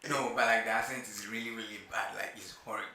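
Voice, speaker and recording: A man's voice: on the low side, steady tone, fast, gravelly, bright, forceful tone, reverberant. A man with a Ghanaian accent co-hosting a podcast, speculating in casual, slangy chat. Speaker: podcast co-host.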